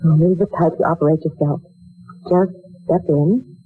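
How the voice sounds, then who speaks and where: A woman's voice: low voice, fairly even intonation, normal speed, a little hiss or hum, lightly acted. An actress playing a proud, polite young woman looking for work, in a dramatic 1940s radio style. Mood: uneasy, wondering. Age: young adult. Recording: muffled old 1940s radio recording.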